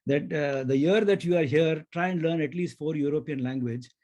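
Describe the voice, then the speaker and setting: A man's voice: on the high side, normal speed, a little mellow. A man with an Indian accent hosting a conversational podcast interview, speaking in an effusive, reflective way. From India (grew up in Mumbai).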